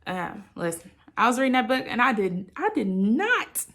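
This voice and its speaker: A woman sharing a personal story with listeners in a candid, halting, reflective way, often qualifying what she says and voicing her own thoughts expressively. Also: a little high, very animated, fairly bright tone. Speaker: host of a personal channel.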